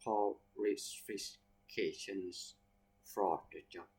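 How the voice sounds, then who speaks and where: A man with a Thai accent, a native Thai speaker, teaching English vocabulary by reading example sentences slowly word by word and spelling out abbreviations letter by letter. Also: lowish voice, fairly even intonation, darkish tone, husky. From Thailand.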